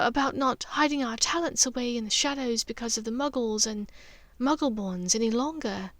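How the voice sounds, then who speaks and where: A woman's voice: high-pitched, no echo, voice pushed up. A woman voicing a refined, reflective mother in an audiobook, speaking hesitantly and confidingly. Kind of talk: audiobook character dialogue.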